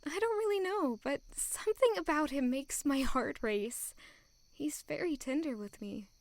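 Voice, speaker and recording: A woman's voice: sits high, animated, normal speed, dry recording. A young woman acting an anxious, lovelorn servant girl in an audio drama, earnest and plaintive.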